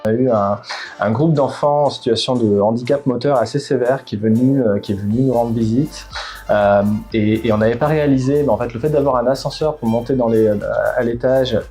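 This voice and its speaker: A middle-aged founder from Bordeaux talks in an interview at a mid pitch, with a warm, mellow tone. He hesitates often, restarting his phrases with plenty of fillers. Faint music plays behind him. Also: fairly quick, slightly rough. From Bordeaux, France. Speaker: startup founder. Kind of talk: interview answers.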